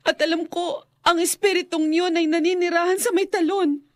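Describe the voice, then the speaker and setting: A woman's voice: very high voice, fairly even intonation, moderate pace, no room sound, clean studio sound. An actress playing an anxious, headstrong young woman in a radio drama, urgent and emotional. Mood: worried, fearful. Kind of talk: Filipino radio drama acting.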